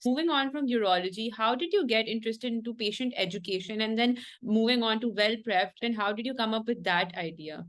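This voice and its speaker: A woman's voice: on the high side, brisk, hardly any pauses. A woman hosting a medical interview show, asking questions in a polite, formal manner. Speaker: podcast host.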